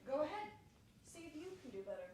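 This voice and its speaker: A woman's voice: high-pitched, big pitch swings, a little fast, warm tone. A woman acting an officious police sergeant in a stage play, with a declamatory, lecturing delivery. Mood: officious, exasperated. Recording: distant, echoey, noisy stage recording.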